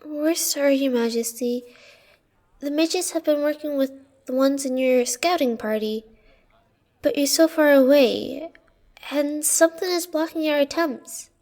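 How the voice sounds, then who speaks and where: A woman's voice: high-pitched, measured pace, darkish tone. A woman playing an earnest young court deputy in an audio roleplay, deferential and apologetic. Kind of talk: audio roleplay drama acting.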